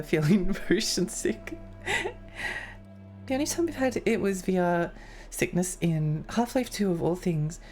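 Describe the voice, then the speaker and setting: A woman's voice: on the low side, a little mellow. A young woman streaming and chatting with her viewers in a rambling, giggly way, her voice a little worn. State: losing her voice. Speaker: livestreamer reading chat. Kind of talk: casual chat with viewers.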